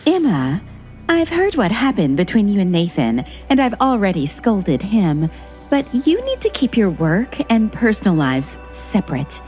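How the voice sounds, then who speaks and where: An actress playing a bossy, controlling older sister who talks down to others in an audio drama. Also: female voice, medium pitch, wildly swooping pitch, a little slow, darkish tone, soft background music. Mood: scolding. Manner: condescending and commanding.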